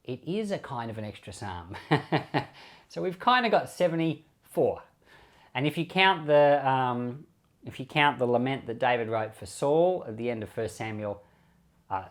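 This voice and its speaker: A man, a pastor reading scripture and explaining it informally. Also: medium pitch. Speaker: pastor reading and commenting on Bible.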